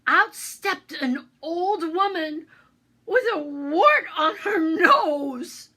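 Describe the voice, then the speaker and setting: A woman narrating a children's bedtime story in a theatrical, expressive manner, as a storyteller. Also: very high-pitched, extremely slow. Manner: theatrical, expressive, with exclamations and asides.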